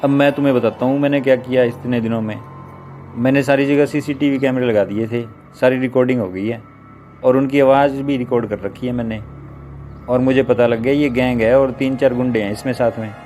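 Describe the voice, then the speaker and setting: A man's voice: mid-range voice, fairly even intonation, brisk, a little mellow, natural voice. Man playing a confident, boastful husband over music. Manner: boastful, reassuring. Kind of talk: dramatized children's story. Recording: music behind the voice.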